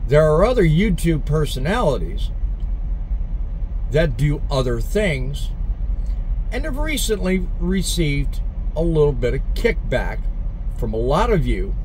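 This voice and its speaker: A man's voice: fairly high pitch, a little mellow, a little hiss or hum, rough recording. A middle-aged man, a truck driver and vlogger, talking earnestly and reflectively to the camera from inside his truck cab on a lapel mic. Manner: earnest, reflective, deliberate phrasing. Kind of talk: casual talk to camera. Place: inside a truck cab, also outdoors in a parking lot. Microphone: small lapel mic.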